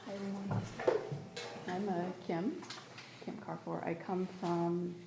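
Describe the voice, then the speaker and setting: A woman's voice: on the low side, little pitch movement, slow, vocal fry, roomy sound, noisy background. A woman from Michigan speaking in a group conversation, telling a personal story in an earnest, wandering way with many fillers. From Michigan, United States. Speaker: panel speaker.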